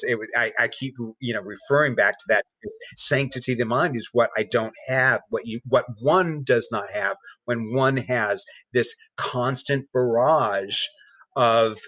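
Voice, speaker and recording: A man, a podcast guest, giving a personal account in casual conversation, halting, with many repeated words and restarts. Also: mid-range voice, bright, forceful tone, dry recording, low-quality recording.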